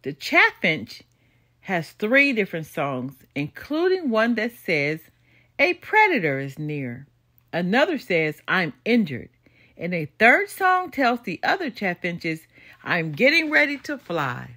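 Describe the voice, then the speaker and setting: An older woman reading a children's nonfiction book aloud as a storytime host, in an expressive, deliberate way. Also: mid-range voice. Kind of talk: storybook read-aloud narration.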